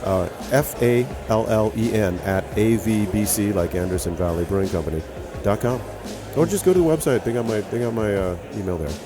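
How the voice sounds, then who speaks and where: A man's voice: on the low side, fairly quick, over music. A man with a Hawaiian accent, a brewer and author telling stories as a guest in a casual interview.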